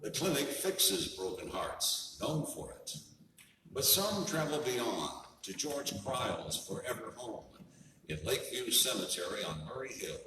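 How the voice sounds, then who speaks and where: A man playing a wry private detective, narrating his city in deadpan hard-boiled style for a radio play parody. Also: fairly low pitch, a little slow, full character voice. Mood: wry, brooding. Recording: noisy echoey hall from far off.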